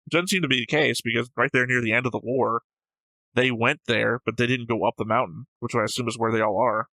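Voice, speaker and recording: A man's voice: medium pitch, fairly even intonation, fast, sharp tone, no echo, low-quality recording. A man co-hosting a reaction show, talking casually and methodically as he explains and argues out his analysis.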